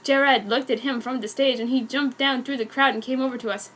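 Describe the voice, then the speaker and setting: A woman's voice: sits high, steady tone, a little fast, a bit bright. A woman giving a dramatic comic reading of fan fiction, amused yet mock-serious. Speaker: reader. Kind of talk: dramatic comic narration of a fanfic.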